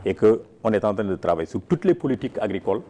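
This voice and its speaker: A man's voice: fairly low pitch, big pitch swings, quick pace, mellow. A man who leads a farmers' organization, answering interview questions emphatically, with frequent restarts.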